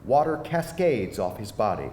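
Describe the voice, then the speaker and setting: A man's voice: big pitch swings, moderate pace, darkish tone. A man narrating a screenplay's stage directions as scripted narration at a live table read. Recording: echoey live room, faint rumbling noise.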